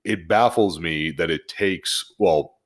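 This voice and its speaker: A deep-voiced American man co-hosts a casual podcast. He speaks bluntly and emphatically, with salty language, like a service worker venting among colleagues. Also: slow pace. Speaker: podcast co-host; auto service advisor. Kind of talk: casual group chat, venting.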